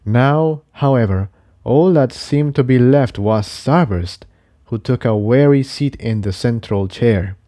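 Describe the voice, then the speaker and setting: A man's voice: mid-range voice, a little slow, dark tone. A man narrating an adventure story from a script. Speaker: narrator reading fan fiction.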